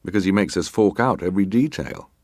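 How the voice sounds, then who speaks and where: A very low-pitched naval officer gives evidence in a historical drama. He is terse and guarded, answering with clipped formality. The sound is dry and close, free of room echo. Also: fast, darkish tone. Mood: dutiful, firm. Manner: clipped, formal, matter-of-fact. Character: British ship's officer testifying, terse, guarded, formally respectful. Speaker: actor reading a witness's testimony. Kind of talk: dramatised reading of hearing testimony.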